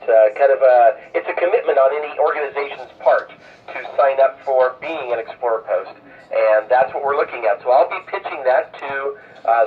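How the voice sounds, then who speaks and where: A man hosting an amateur radio net, giving informal announcements in a halting, hesitant way over a radio transmission. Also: mid-range voice, distant mic. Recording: narrow phone-like radio sound, off-mic.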